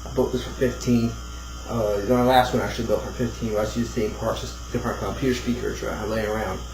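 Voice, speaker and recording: A man giving a casual, unscripted hobbyist demo, rambling and thinking out loud as he goes. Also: on the low side, flat delivery, fast. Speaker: hobbyist demonstrating a homemade build. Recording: roomy echo, clear background noise.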